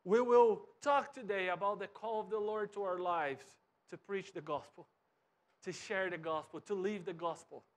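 A Brazilian pastor in his thirties preaches in English with a strong Brazilian Portuguese accent. His voice sits very high for a man. He moves about a church stage, sometimes quiet and sometimes loud. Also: moderate pace. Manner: animated, wide gestures, swings from soft to loud. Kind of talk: sermon. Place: church stage with cross backdrop and bookshelves.

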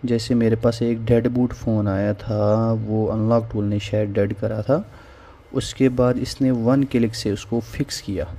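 A man's voice: on the low side, level tone, measured pace, warm tone. A man casually walking viewers through a phone repair tool on screen, often saying "theek hai" between steps. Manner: informal, repeats "theek hai" as a filler. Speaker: phone repair tutorial host. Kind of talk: casual screen-recorded software walkthrough. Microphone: voice-over on screen recording.

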